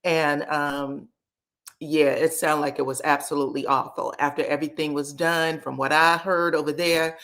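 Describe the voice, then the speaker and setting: A woman's voice: deep voice, flat delivery, normal speed, a bit bright. A woman, chatting casually as she hosts a livestream recap and greets viewers by name. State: slightly scratchy voice. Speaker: reality TV recap livestream host.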